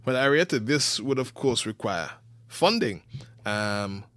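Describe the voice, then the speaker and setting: A man hosting an interview show, introducing guests and asking clear, earnest questions. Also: medium pitch, lively intonation, unhurried, a bit bright, no room sound, clean studio sound. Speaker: host.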